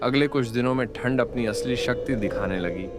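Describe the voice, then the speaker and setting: A man narrating a scripted moral story in a measured, dramatic storytelling manner. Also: mid-range voice, over loud music. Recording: loud background noise.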